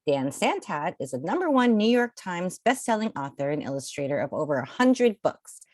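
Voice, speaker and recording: Very animated woman reading a picture book. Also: fairly low pitch, no room sound, studio quality. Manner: animated, theatrical, deliberate, drawing out key words. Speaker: children's picture book reader. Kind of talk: expressive read-aloud storytelling for children.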